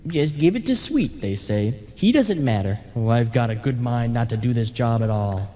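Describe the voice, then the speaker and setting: A weary, grumbling male ghost, played by a man in a radio play, fed up with haunting. The voice is soft-edged and mellow, sardonic and put-upon, washed in long reverb on a broadcast tape. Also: mid-range voice, animated, moderate pace, close to an everyday voice. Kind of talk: old-time radio play acting.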